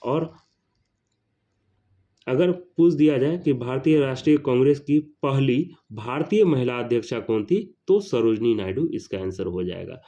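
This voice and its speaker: A man's voice: mid-range voice, mellow, muffled recording. A man teaching an exam-prep lesson, reading out questions and answers in a didactic, repetitive way. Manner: didactic, repeats phrases for emphasis.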